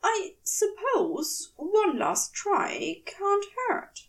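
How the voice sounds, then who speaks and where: A woman's voice: very high-pitched, big pitch swings, very slow, recorded from a distance, voice pushed up, exaggerated voice. A woman voicing a polite, anxious male angel with prim, careful phrasing. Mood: resigned.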